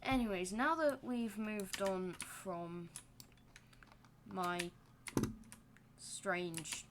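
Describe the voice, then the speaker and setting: Slow, rambling young woman gamer. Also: mid-range voice, noisy background. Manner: rambling, self-deprecating, joking asides. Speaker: gaming channel host. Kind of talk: casual gameplay commentary.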